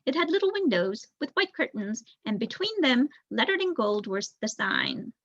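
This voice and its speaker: A muffled recording of a young woman narrating a story. Her voice is bright and fairly high, with a husky edge, and she reads expressively with the odd small stumble. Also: sing-song, moderate pace. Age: young adult. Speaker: volunteer audiobook reader. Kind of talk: storybook narration read aloud.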